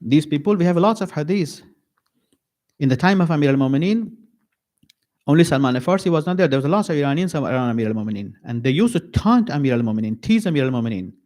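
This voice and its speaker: Guy giving a sermon at an islamic center, slow and deliberate, keeps repeating lines for weight. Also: a little high, expressive, a little mellow. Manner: slow, emphatic, repeats key phrases with pauses. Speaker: sheikh giving a mourning sermon.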